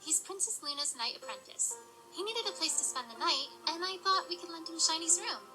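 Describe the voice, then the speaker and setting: A woman's voice: extremely high pitch, animated, fairly quick, over music, low-quality recording. A woman playing an eager, friendly young pony student in an audio drama. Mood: friendly, helpful.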